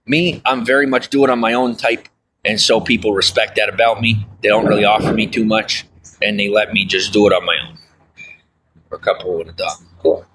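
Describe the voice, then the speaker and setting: A man's voice: fairly low pitch, fairly even intonation, brisk, a bit bright, slight background noise, rough recording. A man livestreaming, chatting casually with viewers and reading their comments, rambling with lots of fillers and folksy asides. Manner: folksy, often says "I'll tell you what".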